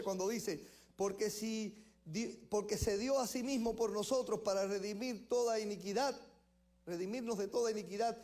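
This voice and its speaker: A man from Cuba with a Cuban accent, preaching a sermon as pastor, emphatic, slowing down to stress phrases and correcting himself as he goes. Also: sits high, a little mellow.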